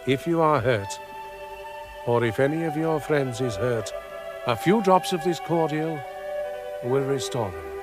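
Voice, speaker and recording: A man's voice: medium pitch, animated, a little slow, pauses often, over music, close to an everyday voice. A man playing a grand, benevolent old Father Christmas in a radio drama, with a British accent and a solemn, declamatory manner. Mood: solemn kindness. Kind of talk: dramatic radio play acting.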